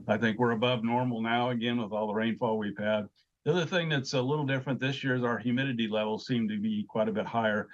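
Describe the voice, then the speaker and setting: A man giving measured, hesitant explanations as an agricultural expert in an informal interview. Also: lowish voice, dull audio.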